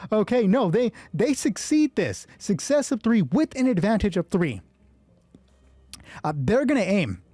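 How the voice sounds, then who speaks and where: Mellow game master thinking aloud, very dry sound. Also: medium pitch, dry recording. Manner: sweary, excitable. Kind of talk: solo tabletop roleplay, thinking aloud while rolling dice and narrating.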